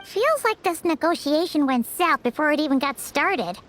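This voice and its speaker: An actress playing a tiny, fretful, childlike fairy companion in a video game, with a squeaky voice. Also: female voice, animated, moderate pace, a bit bright, faint music behind. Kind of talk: video game character acting.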